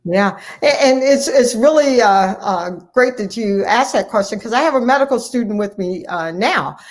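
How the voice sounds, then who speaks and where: A woman's voice: a little high, lively intonation, normal speed. A woman family physician giving conversational interview answers in an earnest, emphatic way, repeating phrases for emphasis. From United States, Ohio. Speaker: family physician, guest speaker.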